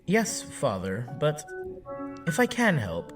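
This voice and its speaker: A man playing a naive newborn android son in an audio drama, speaking in a halting, literal, innocently questioning way. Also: on the high side, huge pitch swings, distant mic, over music, heavily acted.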